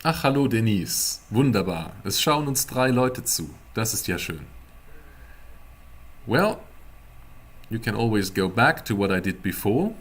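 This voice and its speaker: A man giving a casual live-streamed language lesson as a teacher, explaining word by word in a halting, deliberate way. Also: lowish voice, big pitch swings, slightly rough. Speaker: German teacher.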